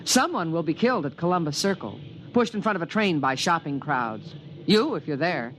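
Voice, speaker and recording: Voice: mid-range voice, lively intonation, moderate pace, a bit bright, close to an everyday voice, rough recording. An actor playing an eager, fussy, invisible alien in a radio drama, with precise, formal diction. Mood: matter-of-fact. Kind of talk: 1950s radio drama acting. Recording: vintage 1950s radio recording, faint noise.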